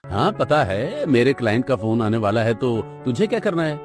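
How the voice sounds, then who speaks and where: A man's voice: mid-range voice, big pitch swings, moderate pace, soft background music, lightly acted. Curt, irritable rich brother played by a man. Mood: irritated, dismissive. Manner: curt, dismissive. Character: brusque, irritable rich young businessman brother. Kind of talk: cartoon moral-story dialogue in Hindi.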